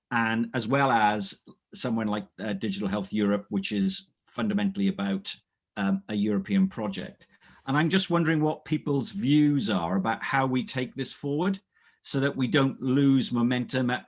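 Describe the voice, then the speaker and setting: A man moderates a panel, speaking in a measured, polite way as he steers the conversation. His mid-pitched voice has a husky edge. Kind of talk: online panel discussion.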